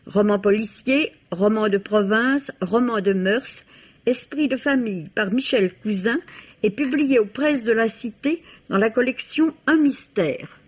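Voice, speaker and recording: A woman's voice: on the high side, unhurried, slightly rough. A woman reading a scripted book review for 1950s French radio, with a literary storytelling delivery. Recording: dull vintage radio recording.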